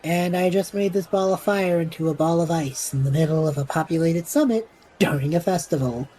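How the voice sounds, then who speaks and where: A woman's voice: on the low side, brisk, mellow, faint music behind, lightly acted, poor audio. A woman playing a wry, reluctant young magic user in a fantasy audio drama, casual and self-deprecating in manner.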